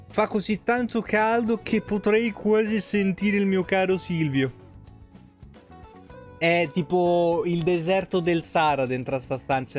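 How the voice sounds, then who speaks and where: A young man, chatting casually on a game livestream in an informal, rambling, sweary way. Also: high voice. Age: young adult. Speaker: video game streamer. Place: small room indoors at a streaming desk. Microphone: desk microphone close up, with headset.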